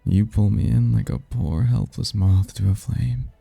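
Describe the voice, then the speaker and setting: An actor voicing a suave, flirtatious male demon in an ASMR roleplay, with a low, husky, gravelly voice and a teasing, smooth-talking manner. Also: a little slow, warm tone, dry recording, faint music behind, exaggerated voice. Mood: flirtatious, charming.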